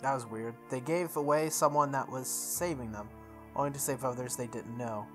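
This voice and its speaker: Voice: medium pitch, normal speed. A person reading visual novel text aloud for a playthrough, stumbling over words and repeating phrases, with offhand asides. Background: music.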